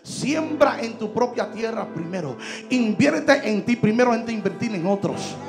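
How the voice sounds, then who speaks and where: A man in his thirties preaches from a church stage, his voice very high with a gravelly rasp. He shouts emphatically and slips between Spanish and English. Soft music plays faintly behind him. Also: lively intonation, moderate pace. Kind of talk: Pentecostal sermon. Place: large church stage indoors. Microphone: handheld microphone.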